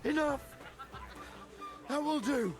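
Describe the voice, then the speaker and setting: An actor playing a proud young medieval nobleman in a video game, brisk, direct and confident. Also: very high voice, over music, crowd noise. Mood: commanding, tense. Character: proud young medieval nobleman, younger brother, hot-headed but loyal. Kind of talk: cinematic video game dialogue.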